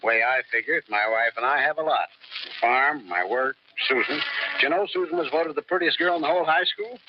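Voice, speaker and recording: A man's voice: mid-range voice, lively intonation, music in the background, lightly acted. An actor playing an easygoing, devoted small-town farmer and father, folksy, in old-time radio style. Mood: easygoing, fond. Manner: folksy, unhurried phrasing. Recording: muffled old radio recording, faint noise.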